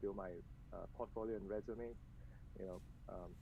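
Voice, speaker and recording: A man from Malaysia, a games executive, answering interview questions over a video call in a soft, hesitant way with many pauses and fillers. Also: fairly low pitch, fairly even intonation, gravelly, a little hiss or hum. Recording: muffled video-call audio.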